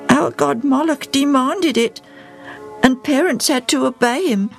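A woman's voice: high-pitched, measured pace, vocal fry, faint music behind, pitched above the performer's own voice. Woman doing an anxious young boy. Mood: earnest. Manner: anxious, earnest.

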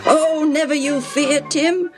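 A woman voicing an excited, confident man who eagerly reassures and urges on his friend in a children's story. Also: on the high side, unhurried, over music, pitched above the performer's own voice. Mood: cheerful confident.

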